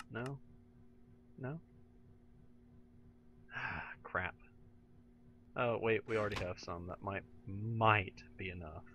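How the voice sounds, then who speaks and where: A low-voiced man with a gravelly, slightly hoarse and raspy throat, chatting excitably as he plays a game. His voice comes through a dull, lo-fi home mic with a faint hiss. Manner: chatty, talks to himself while playing, excitable. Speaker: let's play gamer. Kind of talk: casual gameplay commentary.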